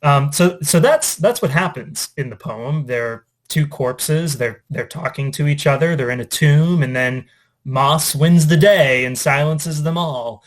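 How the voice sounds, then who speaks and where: A man speaking as an English teacher, informally reading and discussing poetry in a reflective, conversational, somewhat halting way. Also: on the high side. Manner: reflective, conversational, hesitant with trailing asides.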